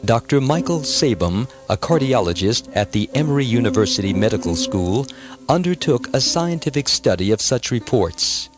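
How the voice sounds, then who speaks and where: Man narrating an audiobook over music. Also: medium pitch.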